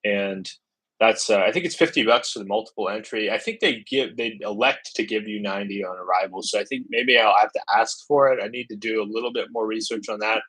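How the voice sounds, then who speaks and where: A man's voice: on the low side, fairly quick, a bit bright, breathy edge, poor audio. A man from the United States chatting casually on a travel livestream, thinking aloud with lots of fillers and restarts. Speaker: travel vlogger.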